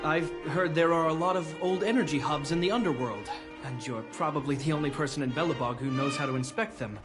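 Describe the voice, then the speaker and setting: A man acts out a video game's earnest guard officer and dutiful younger brother. His voice is a little higher than most men's. He speaks with formal restraint over a clear music bed. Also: a little fast, natural voice. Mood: earnest, hesitant. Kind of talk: video game voice acting. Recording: music under the voice.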